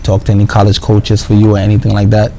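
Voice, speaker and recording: A man's voice: fairly low pitch, monotone, quick pace, mellow. A man answering interview questions casually and earnestly, repeating words and often adding "you know what I mean". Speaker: professional basketball player, interview guest. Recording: clearly noisy background.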